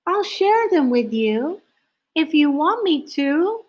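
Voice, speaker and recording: A woman voicing a small, sweet, eager young male owl in a storybook, earnest and gentle. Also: high voice, big pitch swings, takes it slowly, pitched above the performer's own voice, full character voice. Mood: earnest, hopeful.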